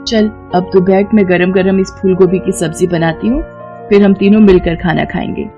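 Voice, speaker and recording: A woman voicing a caring, worried poor village mother, tender and earnest, in an animated moral story with music behind. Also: medium pitch, normal speed, muffled recording, lightly acted.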